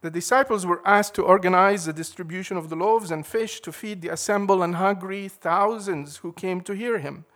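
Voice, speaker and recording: A man preaching a sermon in a measured, emphatic way, leaning hard on key words. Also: high voice, a bit bright.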